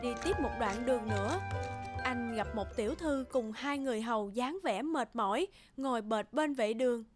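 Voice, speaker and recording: A woman's voice: fairly high pitch, normal speed. A woman narrating a scripted children's folktale as a storyteller. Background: background music.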